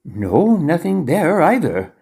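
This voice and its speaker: An actor playing an anxious, dutiful male assistant in an audio farce, with a creaky, husky voice. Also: fairly high pitch, big pitch swings, slow pace, dark tone, heavily acted. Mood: worried. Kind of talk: farcical audio drama acting.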